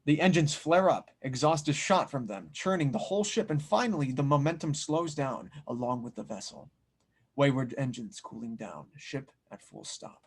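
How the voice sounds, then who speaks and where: A man's voice: mid-range voice, expressive, moderate pace, husky. Man narrating an audio drama script. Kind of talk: reading script narration and stage directions.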